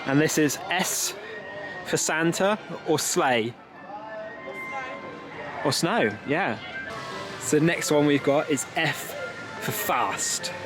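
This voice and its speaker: A young British man with a slightly high-pitched voice talks casually to his camera. He sounds like a vlogger recording himself outdoors at arm's length. Music plays clearly behind him. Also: animated, takes it slowly, long pauses. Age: young adult. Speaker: theme park vlogger. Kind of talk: casual vlog chat to camera. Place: outdoors in a theme park.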